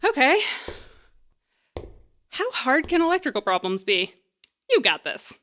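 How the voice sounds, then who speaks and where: A woman playing an awkward, bluffing young repair worker in a comedy audio drama, halting and wryly correcting herself. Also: sits high, very animated, sharp tone, heavily acted. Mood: wry, uneasy. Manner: halting, self-correcting, wry.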